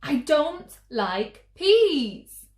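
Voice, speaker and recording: A woman voicing a stubborn, defiant little girl in a storybook, refusing with slow, deliberate emphasis. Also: high voice, big pitch swings, reverberant, pitched above the performer's own voice, exaggerated voice. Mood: loudly defiant.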